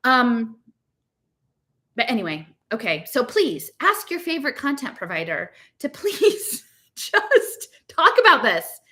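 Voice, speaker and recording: A woman's voice: fairly high pitch, big pitch swings, slow, sharp tone. An American woman giving an informal, impassioned monologue to online listeners, emphatic and exasperated, rambling through rhetorical questions. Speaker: podcast host reading her blog aloud with commentary.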